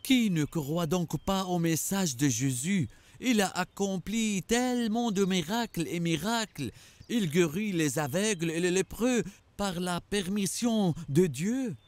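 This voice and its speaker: Anxious devout cartoon man, pretty high voice. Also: animated, a little slow, no room sound. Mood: admiring. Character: devout, anxious male believer. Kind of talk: animated cartoon acting.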